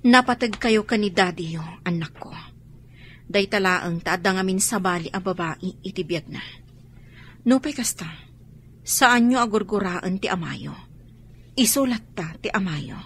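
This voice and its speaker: An actress voicing a patient, wronged wife and mother in a radio drama, soft and restrained. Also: medium pitch, expressive, measured pace, pauses often, slight background noise. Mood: tender. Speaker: mother character. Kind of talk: Ilocano radio drama acting.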